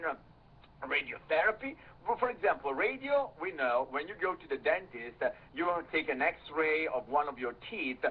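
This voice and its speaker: A man speaking as an interview guest, emphatic, repeating words and piling up synonyms to press his point. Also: fairly high pitch. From half English and half Italian, lived in many countries. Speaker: interview guest, health author. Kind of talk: animated interview monologue. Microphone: telephone. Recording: tinny, narrow telephone line.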